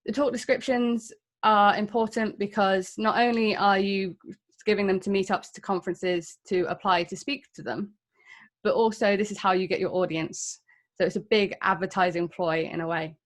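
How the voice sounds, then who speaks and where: Candid English woman giving a tech talk. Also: medium pitch. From England. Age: 30s. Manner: candid, self-deprecating, conversational. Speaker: conference speaker.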